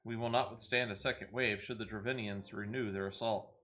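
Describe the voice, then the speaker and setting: A man's voice: lowish voice, fairly even intonation, brisk, fairly bright tone, natural voice. A man voicing a grave, proud envoy from a besieged fantasy city in a video game, with stately, urgent archaic speech.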